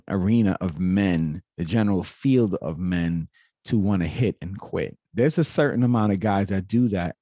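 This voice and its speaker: A man's voice: low-pitched, dark tone, no room sound. A man hosting a reaction video, giving his opinions in a lecturing way with frequent restarts and self-corrections. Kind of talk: casual opinionated commentary.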